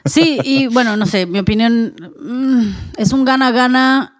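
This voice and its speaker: A woman's voice: fairly high pitch, a little slow, dry recording, clean studio sound. A woman giving conversational commentary as a podcast co-host.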